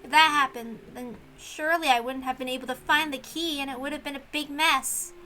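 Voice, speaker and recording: A woman's voice: sits high, steady tone, fairly quick, pushed and bright. A woman voicing an earnest, stubborn young servant girl in a visual novel read-aloud, determined and defiant. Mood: grudging. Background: music.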